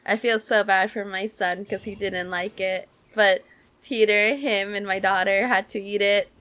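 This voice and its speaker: A woman's voice: mid-range voice, fairly even intonation, a little slow, a bit bright, clear, resonant tone. A woman streaming a game, chatting casually over the gameplay.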